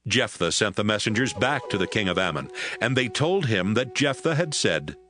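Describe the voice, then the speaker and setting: A man reading scripture narration at a steady, measured pace. His voice sits on the low side. Soft music plays under him. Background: background music.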